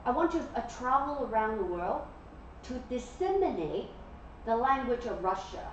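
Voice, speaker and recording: A woman's voice: fairly high pitch, sing-song, normal speed, slight background noise. A woman in her forties giving an interactive classroom lecture and often checking in with "okay?" and "yeah?". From Malaysia. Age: 40s. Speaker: guest lecturer. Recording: echoey classroom from far off, dull.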